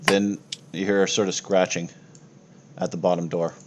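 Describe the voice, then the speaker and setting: A man's voice: low-pitched, flat delivery, normal speed. A man acting as game master on a voice call, narrating a tabletop game in casual talk peppered with swearing. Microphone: online voice call. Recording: noisy home voice-chat recording.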